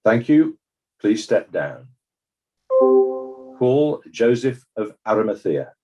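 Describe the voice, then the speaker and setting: A man's voice: on the low side, sing-song, slow pace, over loud music, rough recording. A man playing a formal, polite examiner putting direct questions to witnesses in a dramatized inquest. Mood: formal, inquiring.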